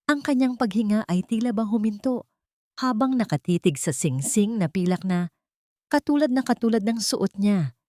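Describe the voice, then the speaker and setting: A woman's voice: deep voice, dark tone, no echo, studio quality. A woman with a Filipino accent reading a dramatic love story as a narrator, solemn and emotive. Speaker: narrator of audio drama stories.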